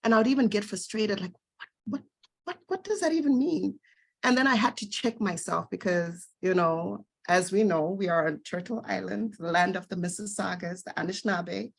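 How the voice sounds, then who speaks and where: A woman facilitating an online workshop, talking in a measured, gentle way with frequent fillers. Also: medium pitch, gravelly.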